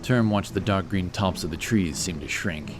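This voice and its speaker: A man's voice: low-pitched, normal speed, over music. A man reading a scripted fantasy story as an audiobook narrator.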